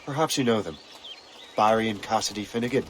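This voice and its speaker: A man's voice: lowish voice, brisk, a little hiss or hum, natural voice. An actor playing a proud, guarded young male sailor from colonial times in a video game. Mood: determined. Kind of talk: dramatic video game cutscene acting. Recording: loud music behind.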